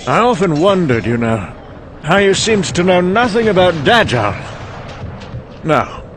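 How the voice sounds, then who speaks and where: A man's voice: sits high, big pitch swings, measured pace, fairly bright tone, exaggerated voice. A man playing a weary, sherry-soaked older scientist, rambling conversationally and hesitantly in a wry, confessional monologue. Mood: chiding. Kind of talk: dramatic monologue. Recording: clearly noisy background ambience.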